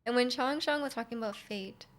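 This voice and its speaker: A woman's voice: a little high, a little fast. A woman livestreamer reacting casually to a game's story as she plays.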